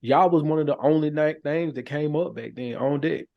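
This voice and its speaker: A man's voice: a little high, normal speed, gravelly. A man who podcasts, talking casually and conversationally in everyday slang. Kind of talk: casual chat. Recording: dull, lo-fi audio.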